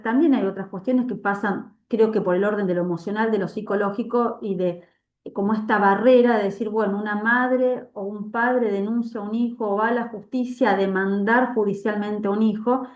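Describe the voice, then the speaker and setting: Measured woman in an echoey room. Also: medium pitch, steady tone, darkish tone. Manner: measured and explanatory. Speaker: seminar moderator. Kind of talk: academic discussion. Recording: echoey room on a video call.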